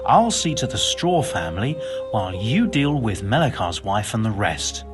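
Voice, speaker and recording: An actor playing a learned, pompous but well-meaning and absent-minded monk physician in a video game, speaking in wordy, formal phrases. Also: male voice, on the low side, very animated, moderate pace, loud music behind the voice. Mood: grave. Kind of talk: video game cutscene acting.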